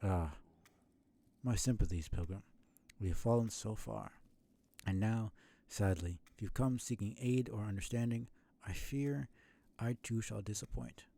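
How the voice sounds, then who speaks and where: A man's voice: on the low side, dark tone. A man voicing an ancient, sickly, bedridden video game bug who speaks formally and apologetically, with courteous sorrow. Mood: sorrowful, gracious. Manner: formal, apologetic, slow and halting. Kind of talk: video game dialogue read aloud.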